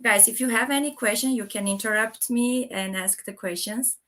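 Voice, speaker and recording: A woman's voice: on the high side, moderate pace, a bit bright. A woman in her thirties from Armenia, native Armenian speaker, presenting her country on a video call with many fillers and restarts. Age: 30s. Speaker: guest speaker. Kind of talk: online talk presentation.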